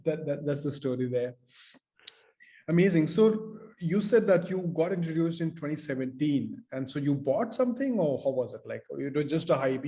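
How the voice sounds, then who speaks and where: A man's voice: mid-range voice, dark tone. A man from India hosting a casual podcast interview, asking long, rambling questions. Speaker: podcast host.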